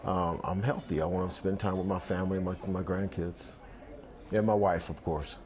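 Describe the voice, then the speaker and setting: Man, 60s, very low voice, reflective and halting, outdoor interview with a faint hum behind. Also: fairly even intonation, a little mellow. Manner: reflective, many restarts and fillers. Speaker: athletic director. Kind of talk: sit-down interview. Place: outdoor balcony by the sea.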